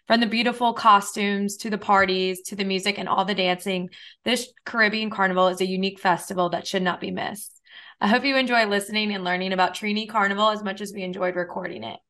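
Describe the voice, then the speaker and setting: A woman's voice: medium pitch, a bit bright. A woman from New Orleans reading a scripted podcast introduction with an upbeat, polished delivery. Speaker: podcast host.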